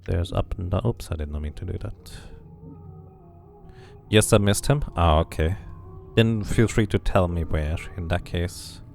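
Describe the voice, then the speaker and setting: A man's voice: sits low, unhurried, creaky, pauses often, darkish tone, faint music behind. A man doing a casual game playthrough, musing to himself and to viewers and reading narration aloud.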